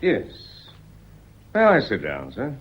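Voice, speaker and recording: An actor playing a middle-aged British police inspector in a radio play, folksy and deferential yet quietly probing. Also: male voice, lowish voice, huge pitch swings, slow, breathy edge, exaggerated voice. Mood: polite, probing. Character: plodding, polite, persistent police inspector with folksy patter. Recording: muffled old radio drama recording.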